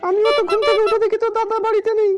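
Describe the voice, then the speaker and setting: A woman voicing a sharp-tongued, bossy village wife in a comic cartoon, snappy and nagging. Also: very high voice, level tone, rapid-fire. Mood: alarmed, scolding. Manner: snappy, nagging, repeats commands. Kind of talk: comic Bengali cartoon dialogue. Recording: music clearly behind the voice.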